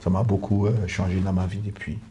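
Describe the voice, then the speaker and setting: Earnest pastor. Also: male voice, deep voice, little pitch movement, fast talker, warm tone, slight background noise. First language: English. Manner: earnest, repetitive, lists in short clauses. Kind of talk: testimony in a podcast conversation.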